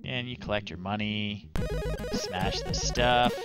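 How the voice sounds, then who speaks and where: A man's voice: fairly low pitch, fairly even intonation, slow. A middle-aged man giving casual, wry commentary on a live retro game stream. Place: small room indoors. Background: retro chiptune game music.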